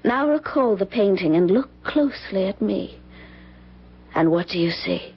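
An actress playing a tormented young woman burdened by a dark heritage, with solemn, melodramatic phrasing in old-time radio style. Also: mid-range voice, animated, a little slow, slightly rough. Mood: haunted, intense. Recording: dull vintage radio broadcast recording.

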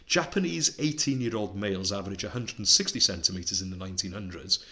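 Bright-voiced man, chatty podcaster. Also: fairly low pitch, quick pace. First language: Welsh. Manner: chatty, enthusiastic, reeling off facts and figures. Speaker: podcast co-host. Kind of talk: casual podcast chat.

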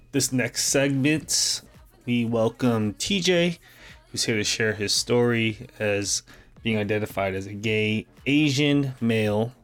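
A man hosting a podcast, gently introducing the next guest. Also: lowish voice. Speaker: podcast host.

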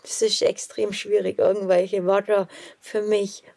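A woman's voice: medium pitch, flat delivery, unhurried, vocal fry, darkish tone. A woman with a Swabian accent, a guest athlete giving short, easygoing answers in a casual interview. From Swabia, Germany.

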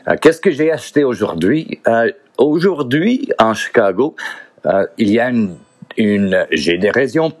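Deep-voiced, halting man. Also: darkish tone. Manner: halting, searching for words in a second language. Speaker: podcaster. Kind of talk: casual solo podcast monologue.

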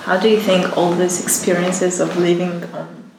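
A woman's voice: lowish voice, level tone, moderate pace. A woman hosting a podcast, asking her guest questions in a friendly way with light laughter. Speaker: podcast host. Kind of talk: interview questions. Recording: echoey room with clear background noise.

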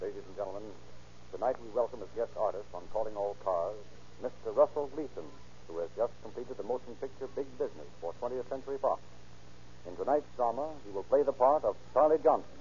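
A man announcing and narrating from a script in a formal, measured 1930s radio style. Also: lowish voice, a little mellow, a little hiss or hum. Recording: dull 1930s radio recording.

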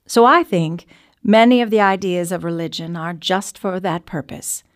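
A woman's voice: fairly low pitch, normal speed, natural voice. A woman acting as a reflective early-1900s woman letter writer. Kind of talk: dramatic reading of personal letters.

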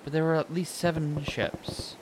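A man plays a young shipwright in an audio drama, pitched up from his natural voice. The character is blunt, proud and quick to snap, full of opinions. There is clear background noise. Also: flat delivery, close to an everyday voice. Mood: animated, scornful. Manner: snappy, opinionated.

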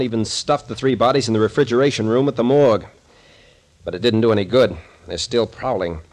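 A man's voice: mid-range voice, lightly acted. A man plays a take-charge captain in a vintage radio mystery. His voice sits in the middle range. He talks in a clipped, commanding, no-nonsense way. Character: brusque, take-charge male captain and sleuth. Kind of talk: 1940s radio acting.

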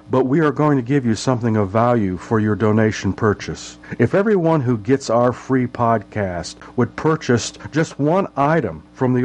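A man's voice: medium pitch, darkish tone. An older man speaking as a podcast host, making a fundraising appeal straight to his listeners.

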